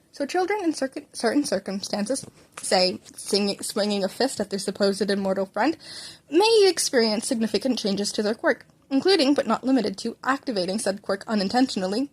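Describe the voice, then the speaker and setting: A woman narrating a story as an amateur reader, informal, often stumbling over words and correcting herself. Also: a little high. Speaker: fan fiction reader and narrator.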